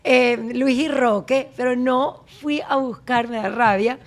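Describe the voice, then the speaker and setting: Forties woman host, bubbly and exclamatory, a touch higher pitched. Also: a little slow. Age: 40s. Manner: lively, exclamatory, laughing often. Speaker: podcast host. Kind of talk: casual interview chat.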